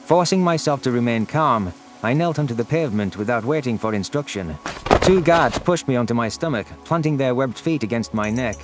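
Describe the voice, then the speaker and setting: A man's voice: mid-range voice, animated, brisk, background noise. A man reading scripted first-person science fiction narration as a story narrator. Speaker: narrator of audio stories.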